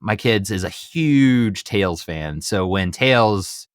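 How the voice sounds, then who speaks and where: Low-voiced upbeat American podcast host, dry sound. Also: male voice, expressive, slow pace, clear, resonant tone, dry recording. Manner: upbeat, enthusiastic. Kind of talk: casual chatty podcast hosting.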